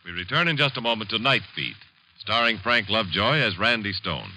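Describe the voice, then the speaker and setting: A man's voice: on the low side, expressive, brisk, loud music behind the voice, low-quality recording. A man announcing on 1950s radio with an emphatic, polished sales delivery. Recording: old radio broadcast, faint noise.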